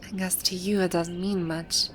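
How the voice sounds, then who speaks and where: A woman playing a haughty, grouchy video game villain boss with a secretly soft side, speaking in imperious, formal grumbles in an ASMR roleplay. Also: fairly low pitch, little pitch movement, normal speed, faint music behind, lightly acted. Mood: musing, grouchy. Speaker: audio roleplay voice actor.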